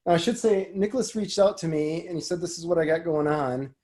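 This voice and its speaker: A man's voice: on the high side, a little fast, a little mellow. A man hosting a casual interview show, chatty and jokey in manner. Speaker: podcast and community radio host. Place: remote call.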